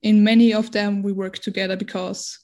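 A woman's voice: mid-range voice, little pitch movement, brisk, darkish tone. A woman in her twenties from Austria, speaking English as a non-native language as an interview guest, hesitant and searching for words. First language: not English. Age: 20s. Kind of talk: casual interview conversation.

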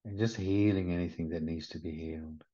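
A man leading a guided meditation in a gentle, soothing manner, with short unhurried instructions. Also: sits low, steady tone. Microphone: video call. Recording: slightly muffled video call audio.

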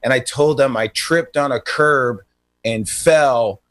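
A man co-hosting a radio show, telling comic stories in a casual, halting, self-interrupting way. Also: mid-range voice, a bit bright.

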